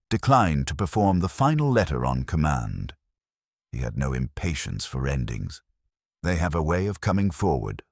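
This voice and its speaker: A man narrating a mystery story in a measured, dry, literary way. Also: extremely low pitch, no room sound.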